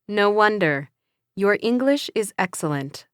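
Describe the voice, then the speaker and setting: A woman playing an encouraging but firm English teacher, speaking slowly and carefully in a scripted classroom dialogue. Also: medium pitch, expressive, clean tone, no echo, clean studio sound, lightly acted. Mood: instructive. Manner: slow, careful, instructive delivery.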